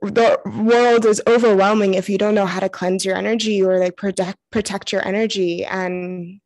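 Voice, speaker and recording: A woman's voice: medium pitch, fairly even intonation, moderate pace, darkish tone, no room sound. A woman hosting a casual conversation, speaking in an effusive, affirming and informal way.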